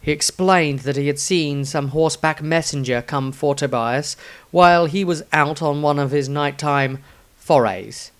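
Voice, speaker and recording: A man narrates a scripted fantasy story. His voice has an average male pitch. He reads written prose in the role of storyteller. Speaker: author and narrator.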